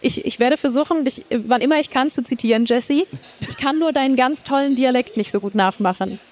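A stream host from Berlin, asking questions in a relaxed, casual gaming chat. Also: sits high, brisk.

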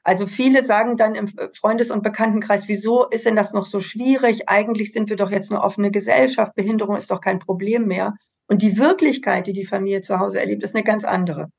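Woman from an association on a panel, gravelly creaky voice, explains stuff earnestly. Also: medium pitch, steady tone, brisk, darkish tone. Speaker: association representative. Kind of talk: panel discussion.

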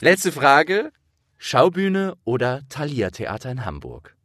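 A man's voice: medium pitch, big pitch swings, slow pace, fairly bright tone, no room sound, studio quality. A man hosting a casual interview, talking informally and explaining things as he thinks aloud. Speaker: interviewer and host.

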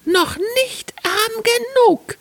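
A little fairy tale sparrow with a piping, extremely high voice. The bird speaks slowly and deliberately, with an unforgiving, taunting temper. The sound is close and dry. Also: male voice, vocal fry, fairly bright tone, dry recording, heavily acted. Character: small vengeful male sparrow, taunting and unforgiving.

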